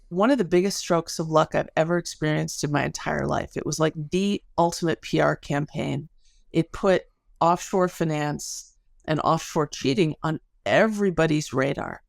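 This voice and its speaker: Low, creaky-voiced woman telling research stories. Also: no echo, clean studio sound. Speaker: interview guest, academic researcher. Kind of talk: conversational interview, storytelling.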